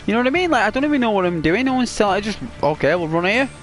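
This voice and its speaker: A man's voice: high-pitched, sing-song, racing, fairly bright tone, soft background music. A man with a British accent chatting casually while playing a video game, rambling with lots of "like" and restarts. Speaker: gaming channel host.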